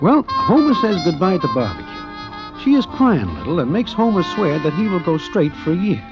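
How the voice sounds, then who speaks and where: An actor playing a slangy, nervous sidekick who tells a gangster tale in a 1940s radio drama, with music behind him. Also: male voice, high-pitched, huge pitch swings, normal speed, poor audio. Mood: wry storytelling. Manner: present-tense storytelling, formal slangy phrasing. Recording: old radio broadcast with loud music.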